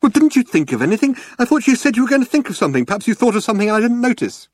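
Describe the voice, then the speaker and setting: A man's voice: fairly high pitch, big pitch swings, unhurried, no room sound, studio quality, voice pushed up. A man voicing a hapless, exasperated human traveller in a comic audiobook, querulous and rueful.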